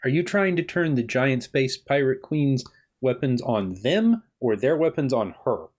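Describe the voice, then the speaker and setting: A man's voice: mid-range voice, expressive, a little slow, a little mellow. A man acting as game master, improvising the game in casual banter with a playful, wry manner and often repeating himself.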